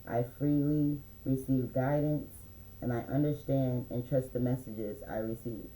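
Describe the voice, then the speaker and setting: A man giving a relaxed, meandering spiritual card reading, reading affirmations aloud slowly, with many fillers. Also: mid-range voice, echoey room, slight background noise, low-quality recording. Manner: slow, reads affirmations aloud. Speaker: spiritual reader.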